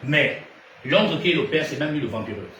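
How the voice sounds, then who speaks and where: Gabonese french man, preacher, fairly high voice, echoey hall, off-mic, noisy. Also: lively intonation, fairly quick, recorded from a distance. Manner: rhetorical questions, swings between loud and hushed. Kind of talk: sermon.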